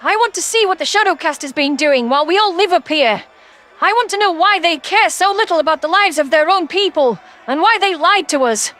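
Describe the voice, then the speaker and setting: A woman's voice: very high-pitched, expressive, brisk, fairly bright tone, soft background music, pitched above the performer's own voice. A woman voicing a wary tentacled alien guard, nervous and hesitant yet defiant.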